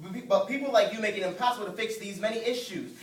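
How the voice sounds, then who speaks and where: A man's voice: high-pitched, quick pace. A man performing slam poetry as a spoken word poet, with a rhythmic, rhyming, emphatic delivery. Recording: roomy, distant mic, faint noise.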